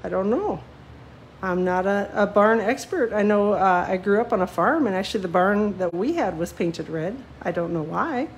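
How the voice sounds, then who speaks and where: A woman talks through a card-making demo as she colors, rambling and self-deprecating, with many small fillers. She sounds a little sniffly from a cold. A faint hum sits behind her voice, which rests at an ordinary middle pitch. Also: expressive, moderate pace, low-quality recording. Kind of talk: live card-making tutorial, chatty. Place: home craft desk, seen from above.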